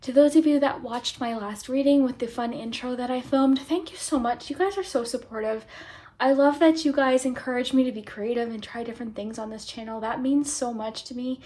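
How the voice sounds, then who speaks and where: A young woman with a slightly hoarse, sore throat giving a chatty tarot reading to her viewers, friendly and soft-spoken, sometimes dropping to a whisper. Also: a little high. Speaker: tarot reader and channel host. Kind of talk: chatty pick-a-card tarot reading to camera.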